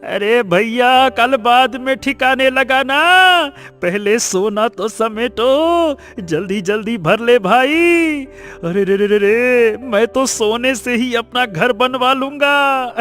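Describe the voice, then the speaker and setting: Man playing an excitable, high-pitched greedy villager. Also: faint music behind, full character voice. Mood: gleeful greedy. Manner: exclamatory, drawn-out, repeats words. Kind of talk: animated Hindi moral story voice acting.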